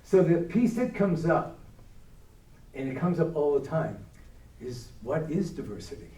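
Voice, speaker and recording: A man's voice: fairly high pitch, expressive, measured pace, warm tone. A man speaking as a guest speaker at a church adult education hour, talking conversationally and putting questions to the audience. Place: church hall. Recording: echoey hall, distant mic.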